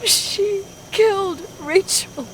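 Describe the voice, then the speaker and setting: An actress playing a distraught grown woman haunted by a repressed memory, speaking in a hushed, halting, anguished way in a dramatic video game. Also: extremely high pitch, full character voice. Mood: anguished, breaking down. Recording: clearly noisy ambience behind the voice.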